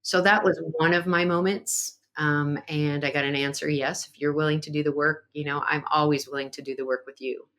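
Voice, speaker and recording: A woman's voice: sits low, fairly even intonation, a little fast, few pauses, a bit bright. A woman speaking as a podcast guest, earnest and reflective, with fillers as she talks. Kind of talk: conversational interview.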